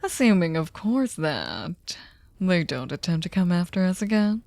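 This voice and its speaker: A woman's voice: lowish voice, big pitch swings, a little slow, vocal fry, a little mellow, no room sound, exaggerated voice. A woman playing a sadistic, seductive aristocratic vampire in an ASMR audio drama, teasing and mock-polite, often slipping into a whisper. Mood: coaxing, seductive.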